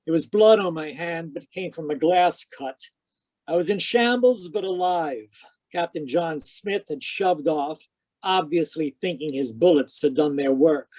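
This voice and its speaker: Male actor sardonic detective narrator, high for a man, 1940s radio mystery style, no room sound. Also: unhurried, a little mellow, no echo, rough recording. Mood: wry narrating. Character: wisecracking private detective, sardonic and unflappable. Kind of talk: old-time radio detective first-person narration.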